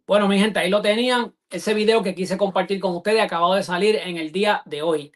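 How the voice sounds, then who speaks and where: A man hosting an online interview show over a video call, effusive and halting with many fillers and restarts. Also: sits high, a bit bright. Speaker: show host.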